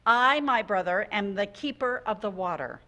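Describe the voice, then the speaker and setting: A woman voicing a wise, calm male frog who guards the water, speaking gently over a video call. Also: medium pitch, normal speed. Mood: welcoming.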